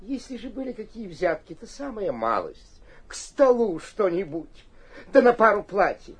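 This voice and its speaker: An actor playing a wily, fawning, middle-aged provincial town governor, corrupt and fearful, with a husky voice in a theatrical radio play. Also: male voice, high voice, sing-song, moderate pace, heavily acted. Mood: panicked pleading. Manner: fawning, swinging from pleading to sly muttering. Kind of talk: theatrical radio play acting with muttered asides.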